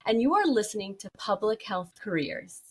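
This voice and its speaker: A woman's voice: medium pitch, animated, normal speed, slightly rough. Woman podcast guest chatting about herself, normal mid-range voice, conversational. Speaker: podcast guest, workplace well-being consultant. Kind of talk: conversational interview talk.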